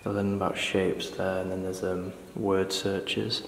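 A British man exploring an abandoned building, talking quietly and observantly through what he finds. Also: low-pitched, completely flat delivery, unhurried, vocal fry, echoey room, slight background noise. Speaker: urban explorer host. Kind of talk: exploring walkthrough with scripted narration.

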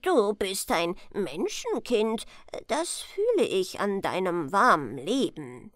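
A woman voicing a kindly, sturdy little male forest dwarf in a fairy tale, deliberate and matter-of-fact. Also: mid-range voice, sing-song, dry recording, studio quality. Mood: wondering.

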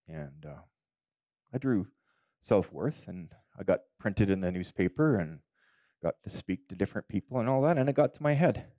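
Pastor in his forties, low voice, close dry headset mic, sermon that swells to loud emphatic peaks. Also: no room sound. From Canada. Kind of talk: sermon with personal testimony. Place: church stage, indoors.

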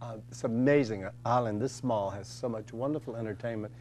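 A man's voice: mid-range voice, fairly quick, darkish tone. An older man hosting a campy talk show, chatty and teasing, speaking into a desk mic in a small studio with a faint hum.

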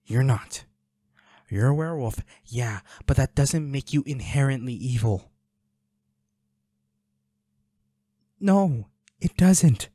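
A man's voice: mid-range voice, animated, a little slow, warm tone, no echo, studio quality. A man playing a protective, worried boyfriend in an intimate audio roleplay, speaking in a pleading, soothing, urgent way. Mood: tender, firm. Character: protective, worried boyfriend tending his injured werewolf partner.

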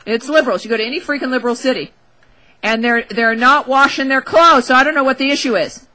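A woman's voice: high voice, fast, pushed and bright, low-quality recording. A woman hosting a talk show, giving a casual, opinionated monologue in a wry, emphatic, conversational way into a close studio microphone.

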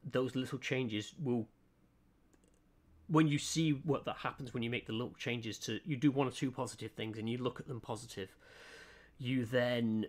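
Man, mid-pitched, earnest live-streamer chatting while drawing, halting with fillers and restarts. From United Kingdom. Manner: earnest, hesitant, many ums and restarts.

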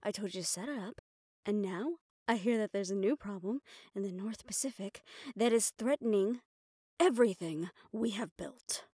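A woman's voice: medium pitch, normal speed, mellow, husky, no room sound, lightly acted. Woman acting a stressed, sweary mafia assistant, close and dry. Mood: angry, threatening. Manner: exasperated, clipped, heavy swearing, sighs. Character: stressed, foul-mouthed, fiercely loyal female mafia assistant. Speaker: audio roleplay voice actor. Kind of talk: dramatic audio roleplay, close solo performance.

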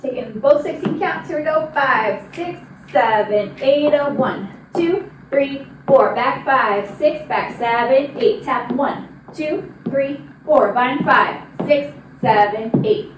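Casting: young female dance instructor, high voice, counts steps aloud, recorded at a distance in a studio. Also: takes it slowly, hardly any pauses. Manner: directive, demonstrating while moving. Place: large indoor dance studio with wooden floor. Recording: roomy, distant mic with noise.

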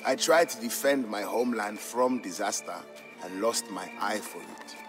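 A man voicing a stern, bitter old merchant and war veteran who recounts things gravely for a game story. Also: on the low side, normal speed, over music, lightly acted.

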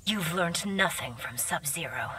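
A woman playing a composed, reproachful royal warrior in a video game, measured and formal. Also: sits low, expressive, far from the mic, very noisy. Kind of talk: video game character dialogue.

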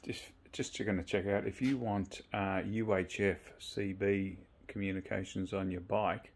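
Man, Australian accent, low pitch, gravelly fry, laid-back rambling delivery, informal walkthrough. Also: steady tone, unhurried. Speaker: presenter of a motorcycle gear video. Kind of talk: casual how-to walkthrough. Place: indoors in a home garage.

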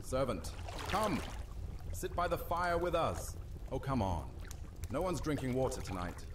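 A man's voice: medium pitch, big pitch swings, a little slow, background noise. A man playing a flippant, theatrical vampire soldier with a sardonic manner in a fantasy audio drama. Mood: teasing. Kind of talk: immersive fantasy roleplay audiobook acting.

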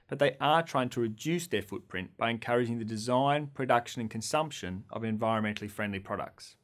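A man in his thirties from Australia, presenting a scripted lecture to camera in a studio with an upbeat, clear delivery. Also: mid-range voice. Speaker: course lecturer. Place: studio against a green screen.

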